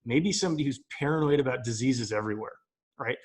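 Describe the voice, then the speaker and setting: A man's voice: mid-range voice, fast talker. A man co-hosting a podcast, thinking aloud in casual chat with hedges and repeated words. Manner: thoughtful, hedging, repeats words as he builds a point. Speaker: podcast co-host.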